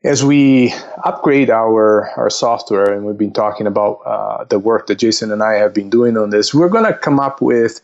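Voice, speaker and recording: A man speaking as a podcast guest and investment counselor, explaining methodically and conversationally, often halting and repeating words. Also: on the low side, gravelly, highs cut off.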